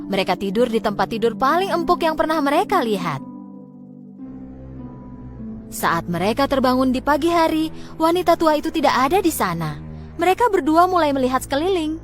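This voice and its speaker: High-pitched, animated female storyteller. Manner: expressive, dramatic storytelling delivery. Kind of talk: scripted children's fairy-tale narration.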